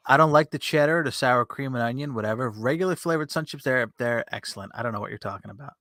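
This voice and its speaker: Male podcast host, mid-pitched, informal and talkative, playful debater, close dry mic. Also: quick pace, bright, forceful tone, no echo. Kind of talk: casual chat, bantering. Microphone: close USB desk microphone on an arm with pop filter.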